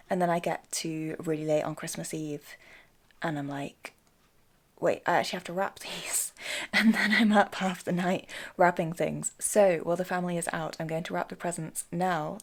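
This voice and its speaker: A woman's voice: fairly low pitch, creaky. A young woman with an English accent chatting casually and enthusiastically to camera as a vlogger.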